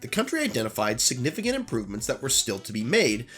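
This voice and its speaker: Male YouTube host, stuffy and nasal from flu, animated pitch, earnest scripted narration. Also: fast talker, faint music behind. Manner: earnest, opinionated asides between read passages. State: recovering from flu. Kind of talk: reading a scripted commentary on lost media.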